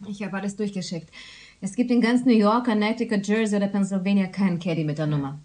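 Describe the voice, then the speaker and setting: An actress playing a businesslike police colleague in a radio drama, reporting matter-of-factly. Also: female voice, medium pitch, quick pace, darkish tone, close to an everyday voice. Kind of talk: dialogue-driven radio drama acting.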